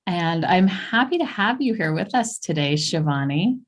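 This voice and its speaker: A woman's voice: lowish voice, animated, moderate pace. A woman hosting a spiritual podcast interview, encouraging her guest with short affirmations and playful quips. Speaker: podcast host.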